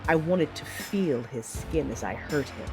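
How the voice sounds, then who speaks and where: A woman's voice: deep voice, lively intonation, a little slow, over music, low-quality recording. A woman playing a fierce, conflicted Sith woman who narrates a sci-fi audio drama with controlled, brooding drama. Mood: simmering passion.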